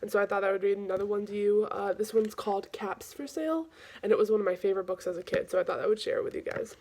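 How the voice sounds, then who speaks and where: A woman reading aloud to children in an informal, expressive storytelling style. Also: mid-range voice, fast talker.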